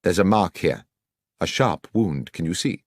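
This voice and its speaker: A man voicing a brilliant Victorian detective in an audiobook, with crisp, patient, authoritative speech. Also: deep voice, expressive, measured pace, dry recording, full character voice. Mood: brisk, probing. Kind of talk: audiobook character reading.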